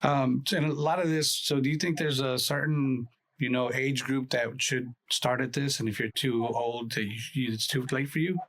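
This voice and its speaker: Husky man hosting a podcast. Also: medium pitch, fairly even intonation, normal speed, a bit bright. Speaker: podcast host. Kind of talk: casual podcast chat.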